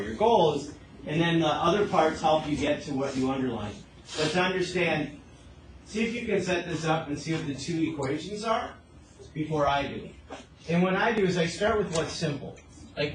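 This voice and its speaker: A man's voice: a little high, slight background noise, poor audio. A man teaching a class as a math teacher, explaining step by step and often asking questions to check understanding. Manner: asks frequent checking questions.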